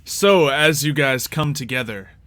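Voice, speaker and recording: Bright-voiced male game master, unhurried. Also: mid-range voice, animated, no room sound. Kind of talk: narrating a tabletop fantasy roleplay.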